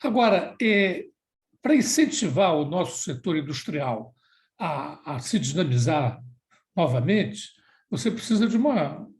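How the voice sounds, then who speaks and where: A male interviewer posing a long analytical question, measured and pausing to find his words. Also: fairly high pitch, very animated.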